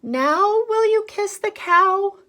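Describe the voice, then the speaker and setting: An insistent storybook mother, played by a woman reading aloud. She pitches her voice up high and presses her questions firmly and emphatically. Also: expressive, takes it slowly, sharp tone, full character voice.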